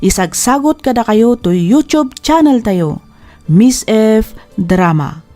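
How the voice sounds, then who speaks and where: A woman's voice: fairly high pitch, expressive, slow pace, warm tone. A woman hosting a drama program, reading a scripted letter and giving advice. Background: music under the voice.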